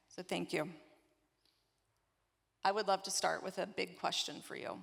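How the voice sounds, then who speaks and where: Low, creaky-voiced woman moderator in a hall. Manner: reads introduction with soft fillers. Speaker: panel moderator. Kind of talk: moderating a conference panel. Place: conference hall. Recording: large hall reverb.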